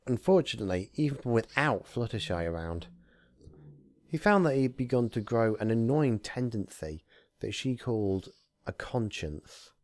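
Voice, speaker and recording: Male story reader, lowish register, dry recording, casual host who narrates with deliberate theatrical delivery. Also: warm tone, no echo. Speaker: fan fiction reader, channel host. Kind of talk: casual intro, then narrated story reading.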